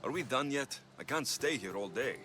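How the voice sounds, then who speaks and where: A man's voice: medium pitch, animated, normal speed, bright, forceful tone, faint music behind. An actor playing a blunt male mercenary hero with a curt, dry manner.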